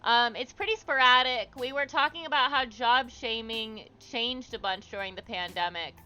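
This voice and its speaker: A woman's voice: fairly high pitch, normal speed, pushed and bright, clear, resonant tone, dull audio. A woman hosting a livestream, chatting casually and encouragingly with viewers and reading their comments aloud. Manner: encouraging, supportive. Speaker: livestream host.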